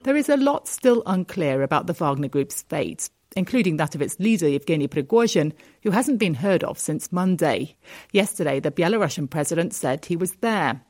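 A woman correspondent narrating a scripted news report in a steady, deliberate way. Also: low voice. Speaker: foreign correspondent.

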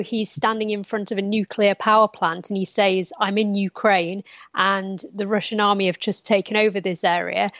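A woman's voice: medium pitch, no echo, highs cut off. A woman analyst answering interview questions conversationally, explaining with examples. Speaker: media analyst.

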